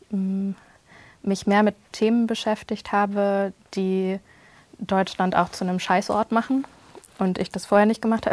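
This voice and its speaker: A young woman from Germany speaks as a podcast guest in a relaxed, loose conversational manner. She hedges often with fillers as she thinks aloud. Her voice is mid-pitched and recorded dry and close. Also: dry recording. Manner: casual, loose, frequent hedging fillers. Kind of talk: conversational interview.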